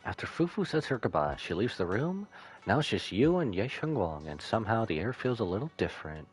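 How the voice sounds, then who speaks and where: Animated, lower-voiced male game streamer. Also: brisk, low-quality recording. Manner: expressive, playful, repeats phrases for emphasis. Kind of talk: live stream commentary with dialogue read-aloud. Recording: game music behind voice. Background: game music.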